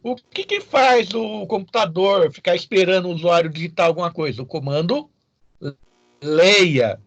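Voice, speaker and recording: High-voiced Brazilian man teaching, dull audio. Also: normal speed, dry recording. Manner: didactic, checks in with short tag questions. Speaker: programming teacher. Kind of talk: informal explanatory lecture to students.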